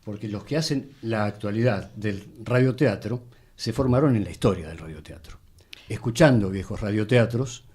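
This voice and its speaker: A man with an Argentine accent chatting informally as a radio studio guest, pausing to search for words. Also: on the low side, warm tone. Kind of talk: casual chat.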